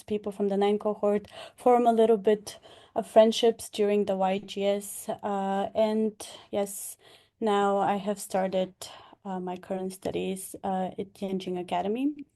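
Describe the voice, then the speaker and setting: A woman's voice: medium pitch, little pitch movement, a little slow, dark tone. A young woman, speaking hesitantly with many fillers about her experience over a video call. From Bulgaria. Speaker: past delegate and graduate scholar. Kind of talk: online information session talk.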